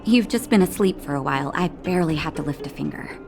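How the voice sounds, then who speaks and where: An actress voicing a calm, caring woman doctor in a video game, speaking in a gentle, reassuring way. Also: sits low, quick pace, gravelly. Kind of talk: scripted game dialogue. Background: music.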